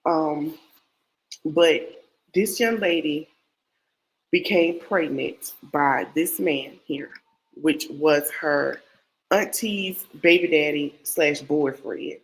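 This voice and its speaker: A woman's voice: low voice, drawn-out words, low-quality recording. Congested, nasal woman hosting a podcast. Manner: rambling, repeats herself, talks straight to viewers. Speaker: podcast host. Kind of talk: casual true-crime podcast chat.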